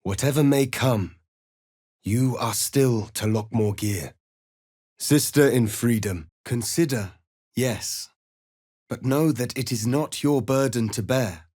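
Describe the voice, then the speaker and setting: An actor playing a proud, righteous rebel warrior prince in a fantasy video game, speaking in a solemn, formal, declamatory way. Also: male voice, mid-range voice, takes it slowly, darkish tone, dry recording, studio quality. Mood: solemn, earnest.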